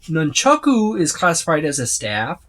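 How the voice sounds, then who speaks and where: A man's voice: a little high, huge pitch swings, moderate pace, fairly bright tone. A man giving a casual, unscripted walkthrough of a book, rambling and often listing items one by one. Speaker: tabletop gaming reviewer.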